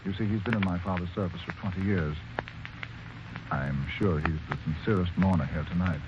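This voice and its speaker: An actor playing the victim's hesitant young son in a 1940s radio mystery. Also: male voice, low-pitched, brisk, natural voice. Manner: hesitant, with fillers. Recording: dull old radio recording with hiss.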